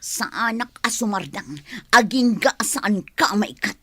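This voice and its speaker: A woman's voice: mid-range voice, expressive, a little slow, fairly bright tone, dry recording, clean studio sound. A woman playing a spiteful, confrontational jilted woman in a melodramatic audio drama. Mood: angry, threatening. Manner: confrontational, scolding. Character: spiteful, hot-tempered jilted woman, threatening and blaming.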